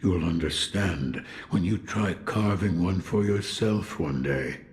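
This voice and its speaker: Slow, low old sculptor, grim and weary. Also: male voice, darkish tone, a little hiss or hum. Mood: grave, brooding. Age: older. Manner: slow, deliberate, cryptic. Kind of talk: scripted video game dialogue.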